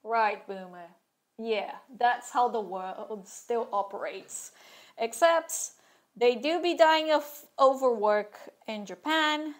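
A woman's voice: on the high side, slow pace. A woman in her thirties speaking candidly and wryly in a casual talking-head vlog, seated at a desk in a small room. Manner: candid and wry, with sarcastic asides. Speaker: vlogger sharing her fashion school experience.

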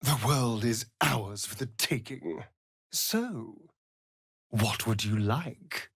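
A sardonic male vampire rogue from a fantasy game. His arch, seductive drawl is unhurried and swings theatrically up and down. The recording is dry and close. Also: medium pitch, lively intonation, creaky, fairly bright tone, slightly rough, no echo, heavily acted. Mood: sly.

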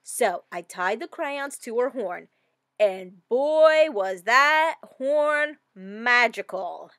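A woman reading a storybook as an earnest, excitable little girl, with dramatic expression. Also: on the high side, drawn-out words, no echo, clean studio sound, full character voice. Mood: flustered apology. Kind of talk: scripted storybook narration.

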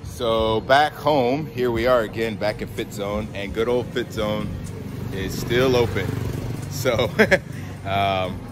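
Man, thirties, low voice, casual vlog talk, phone at arm's length, music underneath. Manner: conversational, relaxed, filler-heavy. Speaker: fitness vlogger. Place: outdoors on a city street, then indoors at home. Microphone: phone held at arm's length, wireless earbuds.

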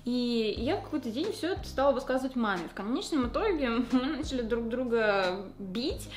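A young woman chats quickly and candidly to a close camera in a small room. Her voice sits a little high, and her talk is full of slang and fillers as she does her makeup. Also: animated. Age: young adult. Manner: talks while doing her makeup. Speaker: vlogger. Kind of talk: casual confessional chat to camera.

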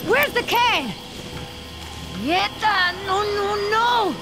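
A woman's voice: very high-pitched, animated, extremely slow, full character voice, rough recording. A woman playing a desperate, scheming female smuggler in a video game, urgent and insistent. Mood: frantic. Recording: music under the voice.

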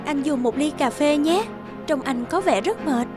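High-voiced poised young secretary. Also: female voice, expressive, normal speed, music in the background. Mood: solicitous. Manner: polite, efficient, solicitous. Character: poised, attentive young female corporate secretary. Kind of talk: animated drama dubbing.

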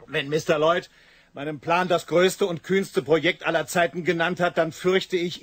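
Radio play casting: resolute young inventor, high male register, measured pitch to an audience, dry studio sound. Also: dry recording. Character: earnest, determined young engineer presenting a bold plan. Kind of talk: German radio drama acting, 1980s.

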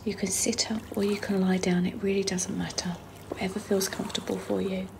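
A woman guides a meditation in a soft, breathy voice that often sits close to a whisper. Her pitch is mid-range for a woman, and she takes her time between phrases. A clearly audible wash of trickling water and noise runs behind her. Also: level tone, fairly quick.

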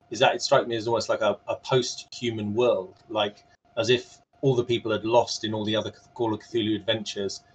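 A man's voice: fairly low pitch, slight background noise. A man moderating a panel conversation over a video call, asking questions in a hesitant, rambling way. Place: home room on a video call. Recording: dull compressed video-call audio.

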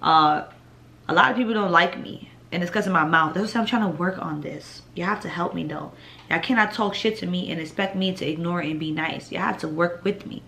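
A woman's voice: a little fast, gravelly, bright, forceful tone, slight background noise. Blunt, foul-mouthed young woman vlogger. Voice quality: deep voice. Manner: blunt, profane, laid-back with laughs. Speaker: vlogger answering viewer questions. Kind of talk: casual Q&A vlog. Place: living room at home, indoors.

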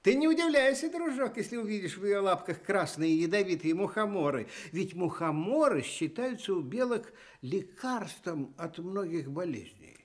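A man's voice: sits high, normal speed, hardly any pauses. An actor playing a kindly storyteller on a Soviet children's radio show, speaking lyrically and expressively to his young listeners. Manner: expressive, lyrical, addresses child as dear friend. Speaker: storyteller host.

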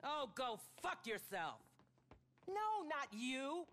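An actress playing an exasperated middle-aged government agency official in a game cutscene, curt and impatient. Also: female voice, high-pitched, big pitch swings, takes it slowly, full character voice. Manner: curt, impatient, sharp-tongued. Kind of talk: animated game cutscene acting.

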